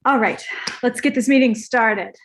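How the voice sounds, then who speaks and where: A woman's voice: on the high side, moderate pace, a bit bright, a little hiss or hum. A woman playing a vain, laid-back young male movie star, hesitant and defensive, in a comedy table read.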